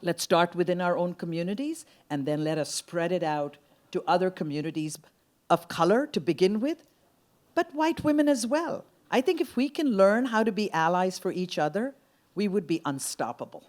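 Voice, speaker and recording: Earnest woman panelist, low-pitched. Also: expressive, moderate pace, a little mellow. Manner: earnest, emphatic, citing figures. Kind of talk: panel discussion.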